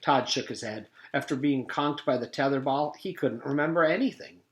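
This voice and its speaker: A man's voice: mid-range voice, normal speed. A man, a teacher, reading a children's story aloud as scripted narration. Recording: echoey room.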